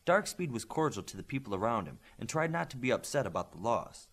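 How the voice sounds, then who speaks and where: A man reading scripted fiction aloud as an audiobook narrator, in an expressive, animated manner. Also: mid-range voice, quick pace, husky.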